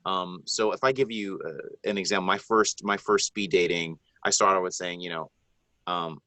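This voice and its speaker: A deep-voiced man from the Bahamas answers questions as a guest narrator in a live online Q&A. His tone is bright and forceful, and he talks in an earnest, rambling way full of ums and restarts. The sound is dry and close. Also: moderate pace, dry recording. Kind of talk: live video Q&A advice.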